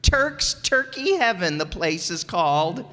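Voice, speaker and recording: A woman's voice: on the low side, very animated, measured pace, a bit bright. A woman playing an eager, naive teenage schoolboy in an old-time radio comedy, with an earnest, chatty, folksy delivery. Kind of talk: 1940s radio comedy acting. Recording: very echoey hall stage recording.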